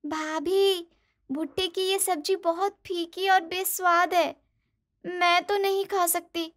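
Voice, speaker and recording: A woman's voice: very high voice, steady tone, slow pace, a bit bright, full character voice. A woman playing a girlish, frank young sister-in-law in a cartoon family story. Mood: complaining. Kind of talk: animated moral story dialogue.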